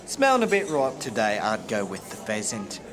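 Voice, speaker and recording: Tavern barman, blunt, businesslike, mid pitch. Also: wildly swooping pitch, normal speed, fairly bright tone. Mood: gruff. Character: gruff tavern barman, adult.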